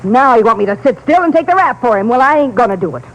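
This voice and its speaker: A woman's voice: on the high side, animated, fast, highs cut off. A woman playing a brassy, resentful small-time crook in a 1950s radio drama, tough, slangy and sullen. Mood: bitter, resentful. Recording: vintage radio broadcast, faint noise.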